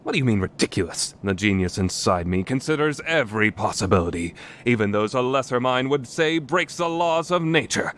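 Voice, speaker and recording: A man playing a grandiose, theatrical young mad scientist in an anime visual novel fan dub, declaiming pompously and quick to take offence. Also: medium pitch, lively intonation, a bit bright, slight background noise, close to an everyday voice. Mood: indignant, pompous. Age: young adult. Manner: pompous, declamatory, easily indignant.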